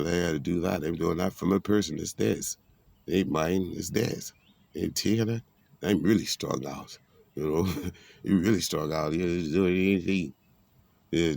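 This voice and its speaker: A man's voice: deep voice, creaky, a little mellow. A man speaking in a rambling, preachy monologue, streetwise and casual, mixing everyday talk with biblical references. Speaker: vlogger giving a monologue.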